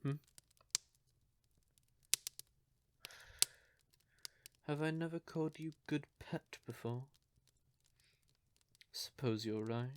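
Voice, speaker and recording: Man playing a slow-talking, possessive male vampire. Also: fairly high pitch, a little mellow, slight background noise, close to an everyday voice. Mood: smugly commanding, then wounded, cold. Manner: theatrical, slow, condescending, deliberate. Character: betrayed possessive male vampire, menacing, coldly controlling lover. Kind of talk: scripted roleplay audio drama monologue.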